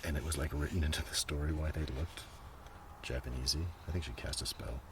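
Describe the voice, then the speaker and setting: A man speaking in a soft, casual ASMR ramble that often slips toward a whisper, with unhurried murmured asides. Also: rumbling low, fairly even intonation. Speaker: host of a comics collection ASMR video. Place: outdoors. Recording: noisy outdoor recording with breeze. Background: breeze, page flipping, ambient noise.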